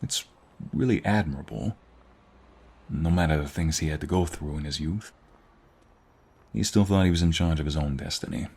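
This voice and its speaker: A man playing an affectionate, teasing young husband in an intimate ASMR roleplay, speaking tenderly in a hushed, husky, gravelly voice. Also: very deep voice, moderate pace, pauses often, exaggerated voice. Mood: earnest, reflective. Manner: tender, teasing, hushed, lingering delivery with sighs and soft chuckles.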